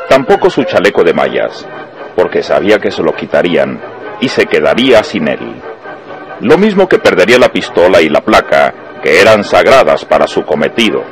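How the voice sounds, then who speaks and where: A man narrates a radio drama in a Mexican accent. His voice is very low and moves in animated swells, with music underneath. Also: moderate pace.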